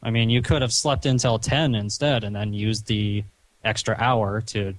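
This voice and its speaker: A man talking on a recorded phone call in a stern, chiding, halting way, padding his sentences with "you know". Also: on the low side, steady tone, dry recording. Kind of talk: phone conversation, reproachful lecturing.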